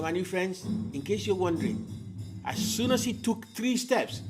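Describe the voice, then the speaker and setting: A man telling a folktale as host, with theatrical, deliberate phrasing and dramatic pauses. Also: a little high. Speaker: storyteller, host. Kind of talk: oral folktale storytelling to an audience.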